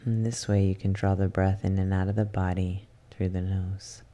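A soft-spoken meditation guide leading an ASMR yoga nidra session in a soothing, unhurried manner with long pauses. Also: low-pitched, level tone.

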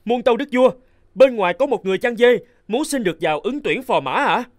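An actor playing an excitable, deferential male courtier in a cartoon fairy tale, speaking emphatically. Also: extremely high pitch, a little fast, no echo, studio quality. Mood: urgent, deferential.

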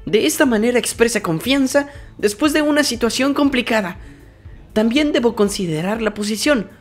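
A woman plays a nervous teenage cartoon hero with a highish voice. He is hesitant and earnest, reasoning things through analytically over faint music. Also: moderate pace, close to an everyday voice. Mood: pensive. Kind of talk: fandub comic acting.

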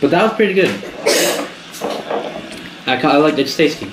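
A man's voice: a little high, animated, normal speed. Laid-back man in an echoey kitchen. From Central America. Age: 20s. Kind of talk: casual chat. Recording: echoey room with noise.

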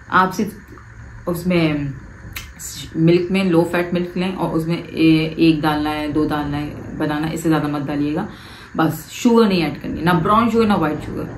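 Woman, thirties, lowish voice, echoey living room, direct coaching. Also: a little hiss or hum. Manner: animated, gestures a lot with both hands; direct, coaxing advice to the viewer. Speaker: vlogger. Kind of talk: casual advice vlog. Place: living room, seated on a sofa.

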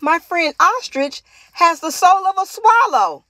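An eager little storybook penguin acted out by a woman, dreamy and undaunted. The voice is high and swoops expressively, with earnest, drawn-out delivery. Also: lively intonation, pushed and bright, dry recording, exaggerated voice. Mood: hopeful. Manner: earnest, exclamatory.

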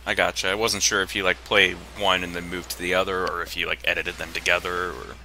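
A man's voice: low-pitched, little pitch movement, fairly quick, sharp tone, a little hiss or hum. A man chatting casually as a co-commentator on a video game playthrough. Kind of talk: casual gameplay commentary chat.

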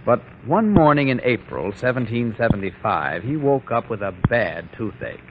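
A man's voice: medium pitch, big pitch swings, normal speed, background noise. A man narrating a 1940s radio drama as a theatrical, folksy storyteller. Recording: dull vintage 1940s radio recording.

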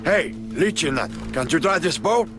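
An actor playing a cocky, menacing crime boss with a Cuban accent in a video game cutscene. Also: male voice, on the high side, expressive, normal speed, over music, natural voice. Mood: brusque.

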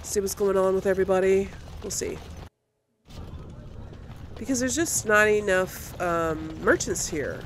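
A woman's voice: medium pitch, measured pace, long pauses, slight background noise. A woman hosting a video game playthrough, chatting informally and thinking aloud as she plays. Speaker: gaming host. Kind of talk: casual let's play commentary. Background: game music.

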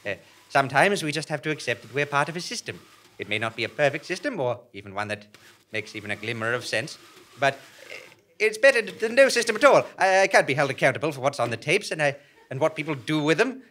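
A man's voice: on the high side, sing-song, fast, pushed and bright. Elderly, mild, hesitant keeper of a small job, played by a man, fussy and dutiful, live comedy audio drama. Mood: defensive. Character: tired old balloon-dwelling man, mild, fussy, dutiful. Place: small theatre stage before a seated audience.

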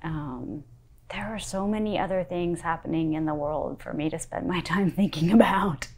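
A woman in her forties, a pastor, answering interview questions in a thoughtful, measured way, recorded with a lapel mic in a wood-panelled study. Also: on the low side, darkish tone. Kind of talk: sit-down interview.

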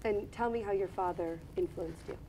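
A middle-aged woman interviewing a guest, asking gentle prompting questions. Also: lowish voice, normal speed, slight background noise, rough recording.

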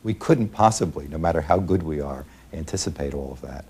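Man, middle-aged, very deep voice, mellow, halting, uh-filled interview, faint music. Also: lively intonation, breathy edge. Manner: halting, many filler pauses.

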